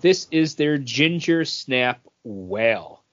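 A man's voice: medium pitch, expressive, slow pace. An American man speaking casually as a podcast co-host. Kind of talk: casual chat. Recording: dull lo-fi voice recording.

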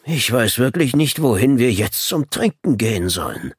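A man's voice: mid-range voice, sing-song, moderate pace, slightly rough, dry recording, clean studio sound, voice pushed up. Man as a worried, earnest storybook badger. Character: earnest, worried badger, sociable and slightly slow.

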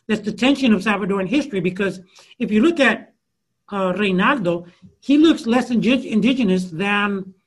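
A man with a notably high voice answers an audience question as an author. He talks loosely, full of "you know" and restarts, circling back as he builds his point. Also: fairly bright tone. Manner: many fillers and restarts, searching for words. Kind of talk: thoughtful conversational Q&A answer.

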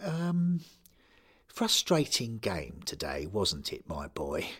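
A man voicing a gentle 92-year-old manager who speaks softly and hesitantly, stammering at times. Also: low voice, wildly swooping pitch, takes it slowly, husky, full character voice. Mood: soothing. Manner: hesitant, soft, stammering, fatherly.

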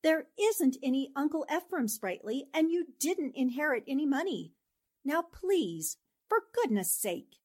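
Shrewd female reporter, played by an actress, high-ish voice, decisive and brisk, vintage radio drama. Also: very animated, darkish tone. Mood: exasperated, brisk. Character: shrewd, take-charge woman newspaper reporter. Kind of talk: old-time radio drama acting.

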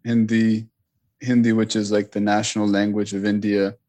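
Low, flat-voiced reflective man. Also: moderate pace. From Richmond, Virginia, US. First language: Punjabi. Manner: thoughtful, with frequent fillers and restarts. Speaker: author and interview guest. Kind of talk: reflective interview talk.

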